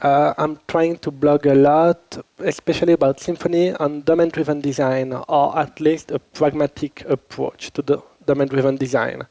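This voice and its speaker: A man's voice: a little high, little pitch movement, moderate pace, gravelly, few pauses, mellow, no echo. A man with a French accent, based in Switzerland, leading a conference workshop in a chatty, informal way and asking the room questions, slightly under the weather from a cold. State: recovering from a cold. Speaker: workshop instructor. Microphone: handheld or lapel microphone.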